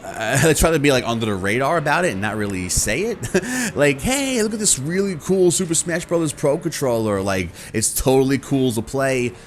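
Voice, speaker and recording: A man's voice: mid-range voice, animated, normal speed, a little hiss or hum. A man talking casually and conversationally to his viewers as a video host, rambling and acting out imagined reactions. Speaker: YouTube host.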